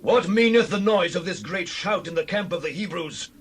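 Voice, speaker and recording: An alarmed ancient warrior rallying his men, acted by a man in a scripture drama. The voice is high and declamatory, with drawn-out cries over faint background noise. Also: lightly acted.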